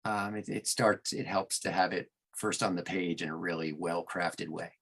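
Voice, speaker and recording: A man giving deliberate, explanatory answers as a narrator being interviewed in a conversational style. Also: low voice, fairly even intonation, creaky, fairly bright tone, breathy edge. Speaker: audiobook narrator and producer, podcast guest.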